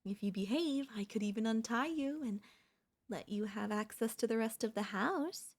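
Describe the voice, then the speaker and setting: A woman playing an obsessive female pop idol turned kidnapper in an ASMR roleplay, speaking in a soft, coaxing, doting way with menace underneath. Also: fairly high pitch, moderate pace. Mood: calm, menacing.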